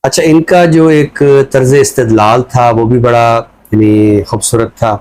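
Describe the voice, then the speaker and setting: A man with a Pakistani accent and a mid-range voice talks as a scholarly commentator. He explains his points in a measured, reflective way while keeping a conversational style. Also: mid-range voice, fairly even intonation, darkish tone, low-quality recording. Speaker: scholar commentator on a talk show.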